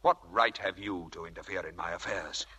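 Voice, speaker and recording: An actor playing a brusque, evasive husband in 1940s radio acting style, on a vintage broadcast recording. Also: male voice, low-pitched, brisk, noisy background, close to an everyday voice. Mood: angry. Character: brusque, evasive, domineering husband with a shady scheme.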